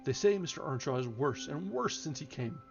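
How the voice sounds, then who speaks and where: Man as a sensible, frank servant woman, highish voice. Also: animated, a little fast, gravelly, dull audio, soft background music. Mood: earnest caution. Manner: earnest, plain-spoken. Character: sensible, frank female household servant giving advice.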